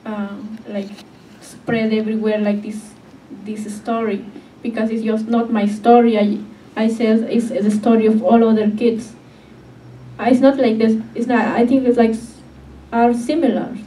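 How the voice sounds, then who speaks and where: A young woman, a native Spanish speaker, answering questions at a public event in halting English full of fillers. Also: a little high, little pitch movement, warm tone. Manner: hesitant, filler-heavy non-native English. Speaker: author sharing her story. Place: community media event room. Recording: distant, echoey with faint noise.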